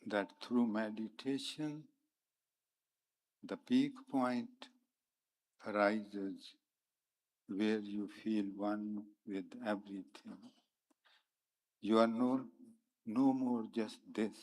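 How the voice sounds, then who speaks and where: Slow, low-voiced man, Punjabi native. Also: darkish tone, poor audio. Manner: slow, meditative, pauses often between phrases. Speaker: conference speaker. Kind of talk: spiritual talk mixed with sung verse. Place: conference hall.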